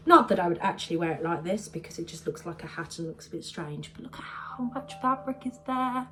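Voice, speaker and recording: A woman's voice: on the low side, lively intonation, a little fast, sharp tone, slight background noise. A woman chatting casually to camera about her sewing projects, a bit congested and bunged up, rambling with lots of "um"s. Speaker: sewing vlogger.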